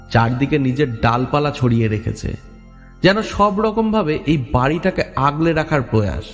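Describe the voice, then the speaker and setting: A man narrating a horror story in a dramatic, atmospheric way. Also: medium pitch. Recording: long reverb, music underneath. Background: music.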